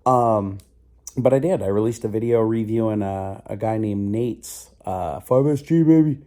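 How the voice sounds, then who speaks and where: A middle-aged man vlogging casually, his voice still slightly rough and stuffy from a cold, rambling and joking at himself. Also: fairly low pitch, normal speed. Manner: rambling, self-mocking, loud. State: stuffy nose. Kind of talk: casual video diary.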